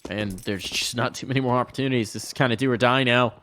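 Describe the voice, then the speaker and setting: A man casting an esports match, jokey and excitable, his voice jumping high on big plays. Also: fast talker, slight background noise. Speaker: esports caster. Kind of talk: play-by-play commentary.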